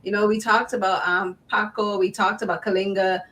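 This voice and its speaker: A woman's voice: medium pitch, little pitch movement, fairly quick, fairly bright tone. A woman hosting a podcast interview, chatty and enthusiastic with lots of fillers. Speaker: podcast host. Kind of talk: casual interview.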